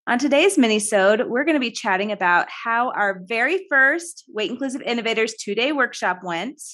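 A woman's voice: a little high, animated, normal speed, a bit bright. Upbeat woman co-hosting a podcast. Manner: upbeat and encouraging. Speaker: podcast co-host. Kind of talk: casual chat.

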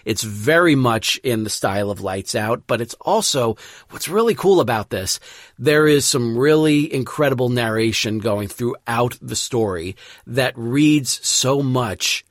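Guy hosting a podcast, medium pitch, excited and emphatic intro, really dry sound. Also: a little slow, dry recording. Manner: enthusiastic, emphatic. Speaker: podcast host and presenter. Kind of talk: semi-scripted show introduction.

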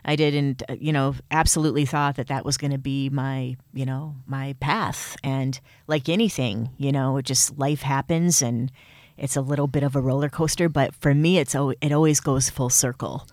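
A man's voice: medium pitch, fairly even intonation, moderate pace, darkish tone, no echo, clean studio sound. A man from Michigan with a Midwestern accent, a host and actor, chatting eagerly in an interview. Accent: Midwestern American. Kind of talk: casual interview chat.

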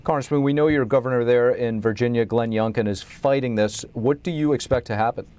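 A man hosts a news interview show with steady, scripted introductions and questions. His voice sits in a middle range for a man and stays measured throughout. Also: medium pitch, clean tone. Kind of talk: interview hosting.

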